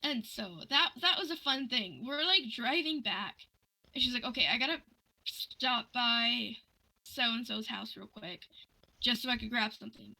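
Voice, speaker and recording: A woman telling personal stories in casual livestream chat, animated and acting out the dialogue she quotes. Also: on the high side, a little slow, vocal fry, low-quality recording.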